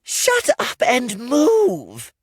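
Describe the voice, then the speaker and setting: A man reading a storybook, voicing a rude, impatient young farm girl who snaps curt orders in a high voice. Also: huge pitch swings, slow pace, bright, forceful tone, no room sound, clean studio sound, exaggerated voice. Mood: annoyed. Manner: snapping, curt.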